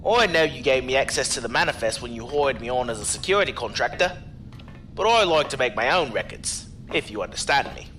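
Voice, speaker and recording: A man's voice: medium pitch, expressive, fairly quick, bright, forceful tone, a little hiss or hum. A man playing a cautious, chummy security contractor in an audio drama, talking colloquially with familiar deference. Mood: casual, sly. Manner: colloquial, deferential but familiar, calling people sir and mate.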